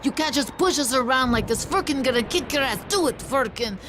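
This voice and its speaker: Fiery high-voiced villager. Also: female voice, expressive, a little fast, background noise. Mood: defiant goading. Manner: combative, nagging. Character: fiery, scornful village woman, goading and defiant. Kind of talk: video game cutscene acting.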